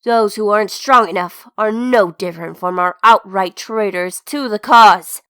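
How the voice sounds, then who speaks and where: Young female zealot played by a woman, crisp and lecturing, militant conviction, comic dub, close dry sound. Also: medium pitch, moderate pace, fairly bright tone, no echo, close to an everyday voice. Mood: cold, ruthless. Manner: emphatic, lecturing. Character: militant young blonde revolutionary, zealous and preachy.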